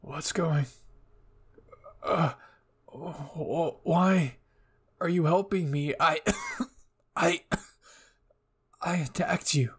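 A man voicing a wounded, remorseful young villain in an anime roleplay, speaking softly in a halting, weary way. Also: a little high, husky, full character voice. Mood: weak, confused. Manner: halting, weak, pleading. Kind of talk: anime ASMR roleplay acting.

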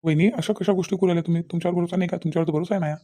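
A man's voice: high-pitched, steady tone, rapid-fire, mellow. A man playing a distrustful middle-aged village father-in-law in a cartoon comedy, nagging sceptically and repetitively with a Vidarbha Marathi accent. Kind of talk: cartoon family comedy dialogue.

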